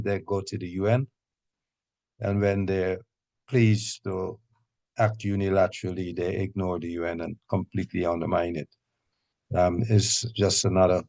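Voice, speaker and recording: Low, even-toned man chairing. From Guyana. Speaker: meeting chair. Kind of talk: extemporaneous political meeting remarks.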